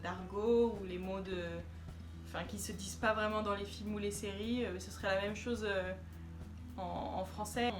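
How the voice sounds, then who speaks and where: A woman vlogger talking casually to camera, chatty and hesitant with many fillers. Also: medium pitch.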